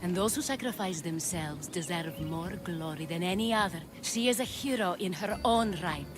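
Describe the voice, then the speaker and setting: A woman's voice: lowish voice, moderate pace, music in the background, close to an everyday voice. An actress playing a composed, proud noblewoman of ancient Greece in a video game, speaking earnestly and persuasively. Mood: solemn. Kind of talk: dramatic video game acting.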